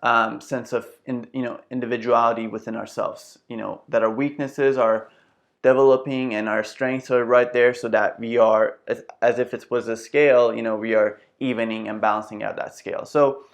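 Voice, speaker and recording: Young adult man, Iranian accent, earnest and reflective vlogger voice, mid-pitched, speaking indoors to a camera. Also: fairly even intonation, moderate pace, vocal fry. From Iran. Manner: earnest, reflective, gestures with hands while talking. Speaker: self-development video host. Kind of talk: casual talk to camera. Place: bright room indoors with houseplant.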